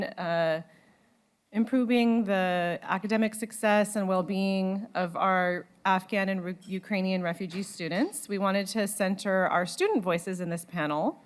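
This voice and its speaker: A woman's voice: medium pitch, a little slow, clean tone. Woman moderating a conference panel. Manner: organized, with frequent restarts and fillers. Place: conference room. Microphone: handheld microphone.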